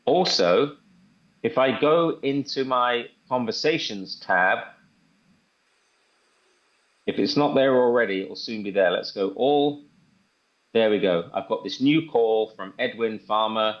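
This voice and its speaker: A man's voice: mid-range voice, measured pace, long pauses. Man presenting a demo, dull lo-fi audio. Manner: enthusiastic, repeats himself. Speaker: presenter demonstrating software. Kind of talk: casual live walkthrough. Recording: dull lo-fi online call audio.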